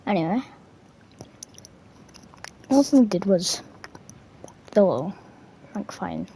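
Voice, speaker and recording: A woman's voice: mid-range voice, expressive, takes it slowly, gravelly, warm tone, slight background noise. A woman giving casual, rambling gameplay commentary in an offhand way. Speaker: gamer playing through a quest. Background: faint game music.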